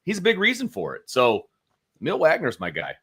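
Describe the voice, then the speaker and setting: A man's voice: on the high side, wildly swooping pitch, a little fast, a bit bright, slightly rough. A man casually talking football as a sports show co-host, opinionated and conversational, repeating phrases for emphasis.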